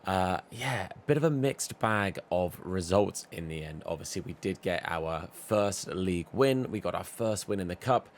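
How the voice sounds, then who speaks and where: A man with a hoarse voice, losing it, giving chatty, upbeat commentary over a football video game and talking straight to his viewers. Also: low-pitched, animated, moderate pace, hardly any pauses, slight background noise. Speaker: gaming YouTuber. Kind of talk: casual gameplay commentary.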